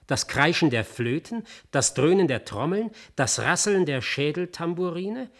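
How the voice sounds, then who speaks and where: An actor playing a learned, independent-minded knowledge broker in a science-fiction radio play, speaking in a measured, articulate, dryly ironic way. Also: male voice, on the high side. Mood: solemn reciting. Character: Hermes, learned ex-banker turned independent knowledge broker, wryly curious.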